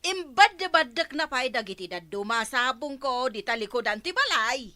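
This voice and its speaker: A woman with an Ilocano accent playing a sharp-tongued, complaining village woman in a radio comedy drama. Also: sits high, sing-song, moderate pace, full character voice. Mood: indignant.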